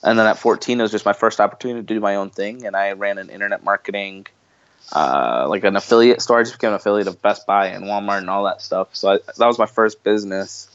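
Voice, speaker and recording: Low-pitched man, dull lo-fi sound. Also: fast, a bit bright, clean tone, no echo. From Bosnia, later the United States. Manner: informal, repeats phrases for emphasis. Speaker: podcast guest, marketing entrepreneur. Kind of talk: casual conversational interview.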